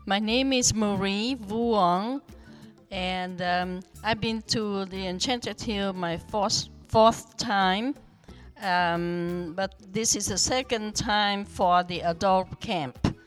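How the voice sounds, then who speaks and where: An older woman in her seventies whose first language is Vietnamese speaks very slowly and haltingly, pausing on fillers as she searches for English words. Her pitch sits at an ordinary level for a woman, with faint music in the background. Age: 70s. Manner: hesitant, many fillers, non-native grammar. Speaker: podcast guest. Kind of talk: casual interview chat.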